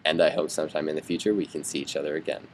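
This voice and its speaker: Deep-voiced earnest young man. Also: flat delivery, a little hiss or hum. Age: young adult. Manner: earnest, measured, slightly halting. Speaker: student. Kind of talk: farewell address and advice to classmates.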